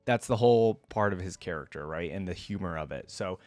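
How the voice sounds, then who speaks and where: A man's voice: low voice, lively intonation, fairly quick, darkish tone, dry recording. A man giving casual, informal reaction commentary as a video host, often checking in for agreement. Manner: chatty, informal, often seeks agreement with "right?".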